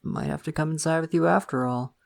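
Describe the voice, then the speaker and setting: Man playing bashful male college crush, ASMR roleplay, casual and rambling, awkwardly flirty, animated intonation, crackly vocal fry. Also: on the high side, fairly quick. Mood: flirty, coy. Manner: hesitant, rambling, chuckling self-consciously. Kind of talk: intimate ASMR roleplay, casual conversational acting.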